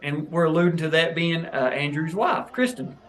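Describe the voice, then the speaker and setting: A man's voice: a little high, moderate pace, fairly bright tone. A man hosting a match review, walking new wrestling officials through the footage with an explanatory, coaching tone. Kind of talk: instructional match breakdown for officials.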